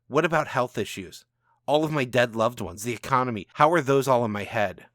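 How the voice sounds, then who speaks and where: A man's voice: medium pitch, a little fast, fairly bright tone, dry recording, clean studio sound, lightly acted. A man voicing a troubled ordinary man down on his luck in a storybook reading, questioning and defensive. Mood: exasperated. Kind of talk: storybook narration dialogue.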